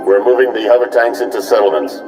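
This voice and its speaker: Man as ruthless military officer, deep voice, stern report, game dialogue, music underneath. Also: fast, darkish tone, lightly acted, poor audio. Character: hard, ruthless military commander reporting by transmission. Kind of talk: scripted video game dialogue.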